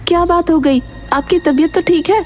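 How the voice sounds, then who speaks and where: A woman's voice: very high-pitched, moderate pace, soft background music. A woman playing a caring, concerned village wife in cartoon story dialogue, speaking solicitously.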